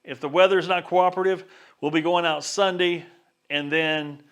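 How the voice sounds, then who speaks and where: A man's voice: a little high, steady tone, normal speed, a bit bright, clean tone. A man casually talking viewers through his boat gear setup in an upbeat, chatty way. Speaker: vlogger. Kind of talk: casual how-to vlog. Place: backyard workshop and boat.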